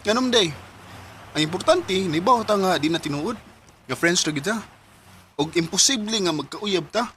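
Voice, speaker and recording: A man's voice: high-pitched, animated, moderate pace, pauses often, background noise. A man playing a cocky, easily flustered young student in a radio drama, with a Cebuano Filipino accent. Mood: teasing, dismissive.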